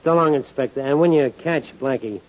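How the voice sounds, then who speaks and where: A man acting a smug gangster boss from a 1940s radio crime show. His mid-pitched voice talks tough and slangy, on a muffled old recording. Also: brisk, lightly acted. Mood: falsely friendly. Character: cocky, menacing out-of-town gangster boss.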